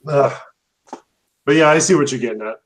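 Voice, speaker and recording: A man's voice: mid-range voice, a little fast. A young man hosting a casual chat, rambling with many fillers and laughing often. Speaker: host.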